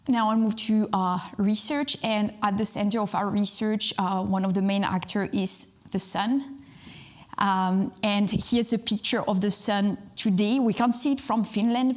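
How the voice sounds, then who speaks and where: A woman's voice: medium pitch, darkish tone. A young woman from southern France, a space physics researcher, giving a public science talk with many fillers and restarts.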